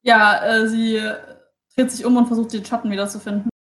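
A woman's voice: fairly high pitch, little pitch movement, fairly quick. A woman playing a tabletop role-playing game, chatting casually as she describes her character's moves and slipping into English phrases. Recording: echoey, roomy sound.

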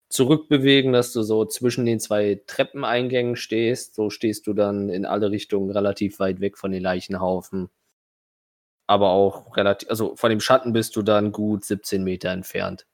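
A man with a low voice leads a tabletop roleplaying game. He talks casually and hesitantly, muttering to himself while he works out the rules. The sound is dry, with very little room in it. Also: steady tone, normal speed, no room sound. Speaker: game master.

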